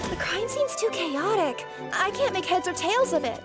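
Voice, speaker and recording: A woman's voice: very high voice, very animated, normal speed, over loud music. A woman playing a young, innocent, anxious girl in a fantasy game, with a wide-eyed, hesitant delivery. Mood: puzzled. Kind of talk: video game voice acting.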